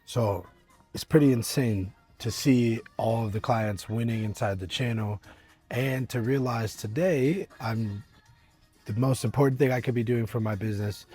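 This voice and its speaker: A young man speaks earnestly to his viewers from outdoors at a winery, his deep voice slightly husky and his speech full of fillers and restarts. His pitch sits in a middling range. Faint eighties music plays in the background. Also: warm tone. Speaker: business coach. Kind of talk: casual motivational talk to camera.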